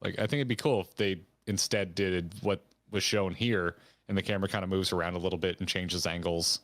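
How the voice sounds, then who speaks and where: Male stream co-host, lowish pitch, very dry sound, informal back-and-forth commentary. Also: fairly even intonation, brisk, fairly bright tone, no room sound. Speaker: co-host of a gaming reaction stream. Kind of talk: casual reaction commentary and discussion.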